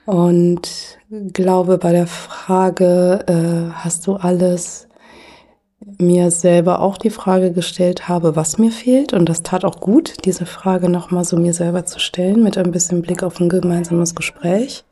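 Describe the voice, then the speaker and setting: A middle-aged woman speaking as a podcast guest, thoughtful and hesitant with frequent pauses and fillers. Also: lowish voice, fairly even intonation, warm tone. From Germany. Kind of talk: reflective conversational interview.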